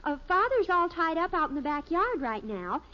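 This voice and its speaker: An actress playing an indignant, scheming American teenage daughter in a 1950s radio sitcom, emphatic and exclamatory. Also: female voice, extremely high pitch, very animated, moderate pace, pushed and bright, exaggerated voice. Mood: brightly polite. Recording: muffled old radio recording.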